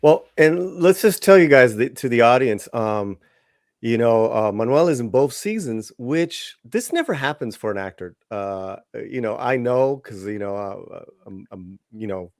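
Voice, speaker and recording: A man's voice: medium pitch, expressive, unhurried, no room sound, studio quality. A man hosting a casual interview, asking long rambling questions full of fillers and restarts. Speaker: interview host.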